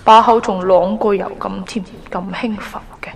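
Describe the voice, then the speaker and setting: A woman with a Hong Kong accent playing a naive, expressive young trainee angel who narrates her own radio drama. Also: mid-range voice, normal speed, a little hiss or hum, natural voice. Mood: musing. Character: naive, eager young female trainee angel posing as photographer. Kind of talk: radio drama acting with first-person narration. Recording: music bed under the voice.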